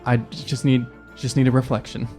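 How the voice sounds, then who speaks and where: A man's voice: medium pitch, level tone, brisk, darkish tone, soft background music. A man playing a tabletop game, casually bantering and speaking for his character.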